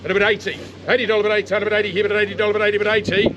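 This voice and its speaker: A man with a New Zealand accent working as a livestock auctioneer, rattling off bids in a rapid, repetitive chant and coaxing buyers at an outdoor saleyard. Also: high-pitched, little pitch movement, muffled recording. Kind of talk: auction bid calling. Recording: loud crowd and livestock noise at an outdoor sale.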